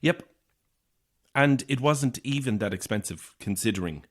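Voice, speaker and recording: A man's voice: mid-range voice, animated, unhurried, fairly bright tone. An actor playing a lonely, weary Irish man in his thirties in a naturalistic audio horror drama, polite, hesitant and dry in manner. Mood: subdued, explanatory. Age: 30s.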